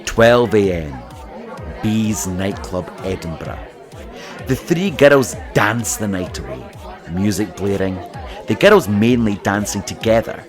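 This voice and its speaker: Low, slow male drama narrator over music. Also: animated, a crowd in the background. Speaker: narrator reading scene directions. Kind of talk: scripted audio drama narration.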